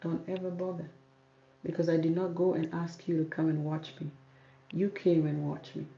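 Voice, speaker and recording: Firm woman with a dark gravelly voice. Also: sits low, level tone, normal speed. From South Sudan, raised in Kenya. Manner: firm, repeats herself for emphasis. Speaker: YouTube hair channel host. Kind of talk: livestream Q&A reading viewer comments. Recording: roomy, dull audio with faint noise.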